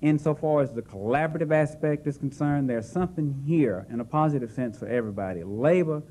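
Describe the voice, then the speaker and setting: A man, a county's chief public defender, presenting to a selection panel in a relaxed, joking way with many hesitations. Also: a little high, a little fast. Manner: relaxed and jokey, frequent "uh" hesitations. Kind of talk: semi-formal presentation with asides. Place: hall at a press club.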